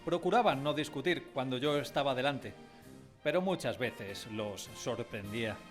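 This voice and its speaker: A man's voice: medium pitch, moderate pace, lightly acted. An actor narrating a radio drama as a man recalling his village childhood, wistfully, in a literary style. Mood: reflective. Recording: music behind the voice.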